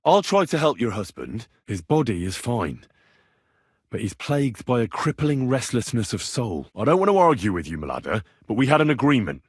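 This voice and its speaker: A man's voice: mid-range voice, big pitch swings, moderate pace, slightly rough, no room sound, clean studio sound. An actor playing an earnest, stubborn young medieval man in a video game, speaking in a direct, persuasive way. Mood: earnest persuading. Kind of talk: acted video game dialogue lines.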